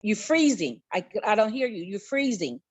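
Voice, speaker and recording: A woman's voice: medium pitch, very animated, moderate pace, husky. A woman speaking casually as a participant asking questions in an online group call. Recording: dull online call audio.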